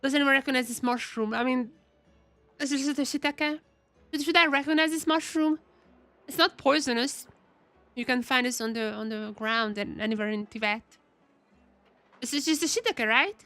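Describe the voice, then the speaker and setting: A woman giving casual, chatty live commentary on a video game, excitable and often repeating her phrases. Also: high voice, normal speed, long pauses, no room sound. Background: game music.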